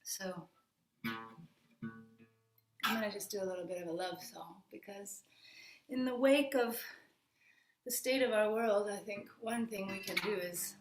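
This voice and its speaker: A woman's voice: mid-range voice, unhurried, pauses often. A woman from Atlanta, talking earnestly on a video call from her family home and repeating words as she gathers her thoughts. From Atlanta, Georgia. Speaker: musician guest. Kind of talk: casual talk on a video call. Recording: roomy home echo with faint guitar.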